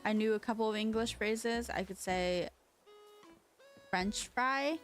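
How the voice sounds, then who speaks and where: A woman's voice: mid-range voice, takes it slowly, faint music behind. Chatty young woman from China, rambling. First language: Chinese. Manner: rambling, chatty, lots of fillers and restarts. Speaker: streamer. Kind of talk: casual chat stream.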